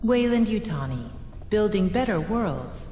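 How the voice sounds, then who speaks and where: An actress voicing a polished, impassive space station computer in a video game, formal and corporate over a public address system. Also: female voice, mid-range voice, very animated, unhurried, warm tone. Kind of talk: automated station announcement. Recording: echoing hall reverb, music behind.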